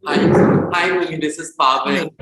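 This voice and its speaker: A man's voice: a little high, fairly even intonation, moderate pace, sharp tone. A man giving informal audience feedback, searching for words as he goes. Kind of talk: informal spoken tribute. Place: indoor event space, at a laptop. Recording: echoey room, clearly noisy with clicks.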